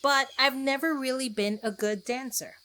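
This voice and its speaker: A woman's voice: sits high, steady tone, moderate pace, bright, forceful tone. A woman podcast host narrating a casual scripted solo episode in a chatty, self-deprecating way with conversational asides.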